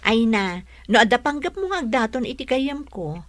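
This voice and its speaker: A woman with an Ilocano accent playing a warm, protective best friend in a radio drama. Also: on the high side, very animated, moderate pace, no room sound. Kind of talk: Philippine radio drama acting.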